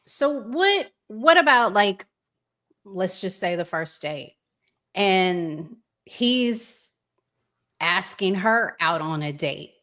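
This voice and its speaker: Slow-paced woman hosting a conversation. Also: mid-range voice, expressive, fairly bright tone. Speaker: podcast host. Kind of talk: conversational interviewing.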